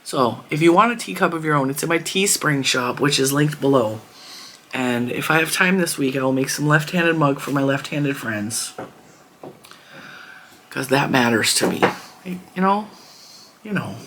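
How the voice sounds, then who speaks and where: A woman hosting a crafting channel, chatting casually to her viewers in a hoarse voice, rambling and warm. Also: low voice, lively intonation, unhurried, long pauses, a little hiss or hum. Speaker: crochet channel host. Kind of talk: casual chat to camera.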